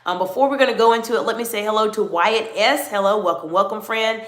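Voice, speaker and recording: An animated middle-aged American woman talks to viewers of a livestream. She coaches leaders and greets her chat by name. Her voice sits mid-range and echoes in the room around her. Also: mid-range voice, quick pace. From United States. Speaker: executive coach.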